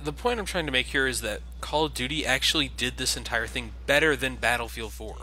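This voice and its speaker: A man's voice: medium pitch, fairly quick, bright, forceful tone, soft background music. A man giving sarcastic, casual commentary over a video game. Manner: sarcastic, mocking. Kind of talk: casual let's play commentary.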